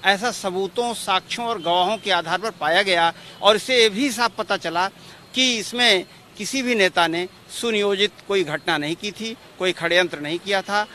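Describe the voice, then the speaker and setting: A man's voice: high voice, normal speed, pushed and bright. A man, a lawyer giving formal, repetitive explanations in a press interview. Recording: outdoor street noise behind.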